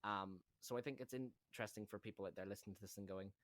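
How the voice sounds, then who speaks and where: A man's voice: lowish voice, fairly even intonation, fast, a bit bright, dry recording, clean studio sound. A man who directs audio drama, chatting casually with co-hosts in a rambling, thinking-aloud way. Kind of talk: casual behind-the-scenes discussion.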